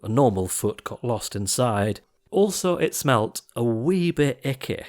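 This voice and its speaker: A man narrating an audiobook of rhyming verse in a theatrical, expressive storytelling style. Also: mid-range voice, a little slow.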